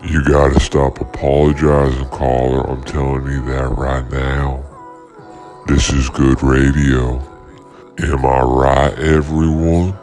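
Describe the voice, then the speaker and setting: A man playing an unflappable radio DJ and doctor, speaking in a deadpan, measured way on a comic call-in show. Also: a bass voice, faint music behind. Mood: measured, reassuring. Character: deep, slow, unflappable male radio doctor-DJ.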